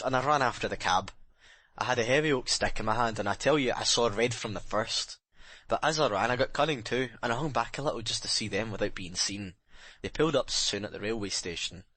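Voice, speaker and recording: A man acts out a tormented sailor with a lowish voice in a very dry, close recording. He is bitter, jealous and haunted, confessing his crime with dramatic intensity, as in an audiobook. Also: sharp tone, dry recording. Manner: intense dramatic confession, plain working-man's phrasing.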